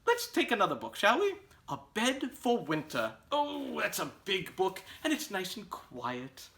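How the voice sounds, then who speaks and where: A man playing a fussy, theatrical children's storytime host who addresses children in an exclaiming, sing-song way, with a husky voice. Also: high voice, wildly swooping pitch, unhurried, sharp tone, recorded from a distance, slight background noise, heavily acted. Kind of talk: hammy comedic children's read-aloud.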